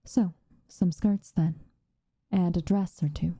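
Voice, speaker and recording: A woman playing a stern but protective witch in an ASMR roleplay, speaking softly and formally, often in a breathy whisper. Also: on the low side, steady tone, no room sound, studio quality, heavily acted. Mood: calm, caring. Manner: measured, formal, gently commanding.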